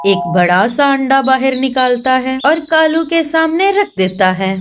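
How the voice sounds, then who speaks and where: A woman's voice: high voice, expressive, a little slow. A woman reading scripted narration for a children's cartoon story as the storyteller. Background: music.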